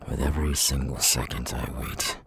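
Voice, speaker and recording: A man voicing a menacing, gloating young demon villain in an ASMR audio roleplay, with a husky, breathy, gravelly voice. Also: very deep voice, flat delivery, normal speed, a bit bright, slight background noise, heavily acted. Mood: hungry, gloating.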